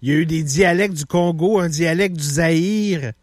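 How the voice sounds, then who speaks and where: A man with a Québécois accent, hosting a radio show and telling stories in animated bursts. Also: a little high, no echo, studio quality. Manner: animated storyteller, quick bursts. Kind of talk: casual chat.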